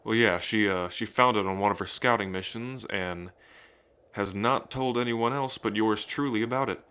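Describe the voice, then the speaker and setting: A man playing a romantic dragon king in an intimate ASMR roleplay, tender and unhurried, with playful pride as he talks to the listener. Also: lowish voice, pushed and bright, clean tone. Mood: playful, eager.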